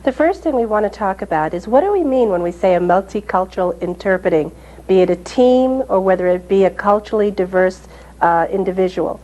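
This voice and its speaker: A woman from New York introducing herself as an interpreter and presenter, speaking clearly and at a measured pace. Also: medium pitch, mellow, rough recording. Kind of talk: recorded panel presentation.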